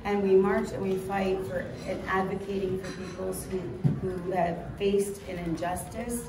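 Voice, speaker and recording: A woman giving a heartfelt speech at a gala, introducing a guest with many pauses and restarts. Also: medium pitch, level tone. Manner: warm-hearted, halting with restarts. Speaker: gala speaker. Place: gala event hall. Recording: echoey hall, distant mic, noisy.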